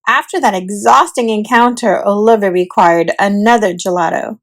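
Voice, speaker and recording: A woman narrating a children's storybook aloud in an expressive, deliberate storytelling manner. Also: mid-range voice.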